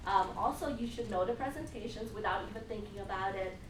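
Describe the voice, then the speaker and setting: A woman's voice: medium pitch, fast talker. A woman giving a casual live training talk to a group as presenter and interviewer, often checking in with listeners. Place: indoor meeting room with an audience. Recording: echoey, noisy room, far from mic.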